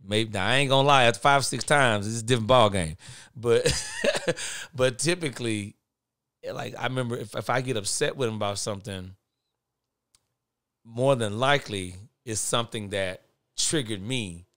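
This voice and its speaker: A middle-aged man with a naturally big, forceful voice, talking candidly in a podcast conversation and getting louder when he drives a point home. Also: mid-range voice, moderate pace, no echo, studio quality. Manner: earnest and candid, speeds up and swells in volume when making a point. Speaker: guest, father of boys.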